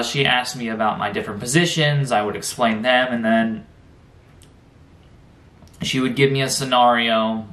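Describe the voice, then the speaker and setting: A young American man, around twenty, talking casually and haltingly to camera in a vlog, pausing often mid-sentence. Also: lowish voice, fairly bright tone. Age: young adult, around 20. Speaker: vlogger. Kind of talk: casual reaction vlog, recounting an experience. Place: small bedroom, indoors. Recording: roomy, echoey bedroom sound.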